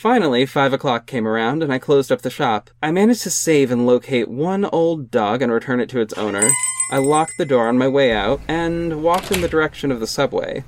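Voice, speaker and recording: A man's voice: medium pitch, expressive, a little fast, natural voice. A man playing a wealthy orphaned young hero in an audio drama, guarded and earnest, with dry sarcasm and a defensive edge. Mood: exasperated. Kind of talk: first-person audio drama narration and dialogue.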